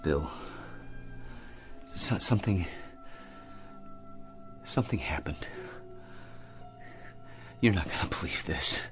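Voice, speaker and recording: An actor playing a shaken male astronaut major in a radio drama, speaking in a halting, earnest way. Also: low voice, expressive, sharp tone, soft background music, heavily acted. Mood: hushed, shaken.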